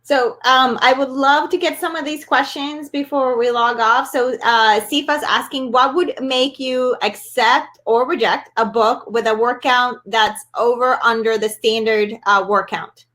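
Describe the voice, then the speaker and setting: A woman host, a native Spanish speaker, reading audience questions in a brisk, conversational way. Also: fairly high pitch, steady tone, a bit bright. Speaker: host, moderator.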